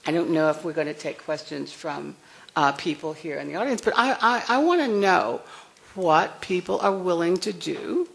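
Older lady on a panel, soft voice, lowish and a bit gravelly, frank and wry. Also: lively intonation, normal speed. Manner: frank, wry, chuckling asides. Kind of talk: panel discussion.

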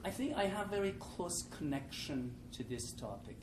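A man's voice: fairly high pitch, sing-song, normal speed. A man in his sixties with an Iranian accent, a professor giving informal, didactic opening remarks to an audience as a panelist. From Iran. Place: live panel event in a room with an audience. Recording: echoey noisy room, distant mic.

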